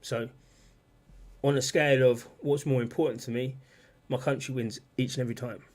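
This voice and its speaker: A man's voice: medium pitch, steady tone, normal speed, darkish tone. A man hosting a casual livestream, rambling and repeating himself as he talks and reads out chat comments. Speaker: livestream host. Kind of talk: casual political livestream talk.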